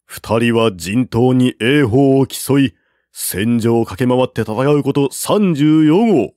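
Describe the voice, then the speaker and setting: A man reading a classical war novel aloud as narrator, in a slow, measured way at a close mic. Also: medium pitch, expressive, warm tone, no echo. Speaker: audiobook narrator.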